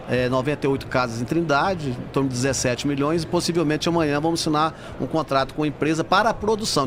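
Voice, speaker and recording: A Brazilian man speaking as a bank executive guest in a casual interview at a trade fair. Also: on the high side. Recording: faint noise of a busy fair.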